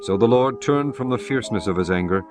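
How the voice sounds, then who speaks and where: A man narrating scripture in a measured, solemn, formal way. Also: lowish voice, expressive, over music.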